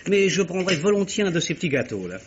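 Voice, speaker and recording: Brief: a teasing writer in a vintage radio play, lively and lo-fi. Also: male voice, high-pitched, fast, slight background noise. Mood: witty. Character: witty, mocking writer and chronicler. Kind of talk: 1950s French radio drama acting. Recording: dull old radio recording.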